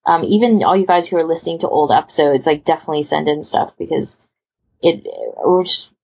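Low, gravelly woman hosting casually. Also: brisk, a little mellow, lo-fi sound. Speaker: podcast host. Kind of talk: casual panel chat.

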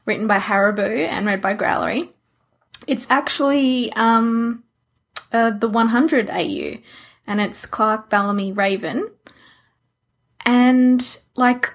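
A woman co-hosting a podcast, talking in a casual, chatty fan style. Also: mid-range voice. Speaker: podcast co-host.

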